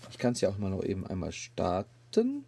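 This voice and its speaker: A man's voice: low voice, wildly swooping pitch, moderate pace, gravelly, dark tone, a little hiss or hum. A man hosting a podcast, giving a casual, colloquial and rambling review. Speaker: podcast host reviewing an app. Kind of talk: casual chat, informal review.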